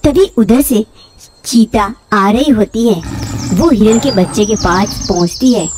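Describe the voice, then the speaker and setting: A woman's voice: mid-range voice, unhurried, darkish tone. A woman storyteller reading a scripted animal fable for children.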